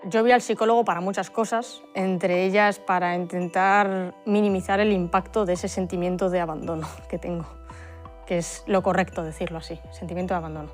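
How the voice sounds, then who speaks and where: A woman's voice: lowish voice, fairly even intonation, fairly quick, faint music behind. A woman adopted from Russia speaking candidly and reflectively in a documentary interview.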